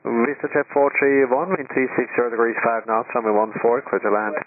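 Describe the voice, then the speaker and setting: A man working as an air traffic controller, giving brisk, procedural clipped instructions over a radio. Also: mid-range voice, no room sound. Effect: radio transmission. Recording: tinny narrowband aviation radio.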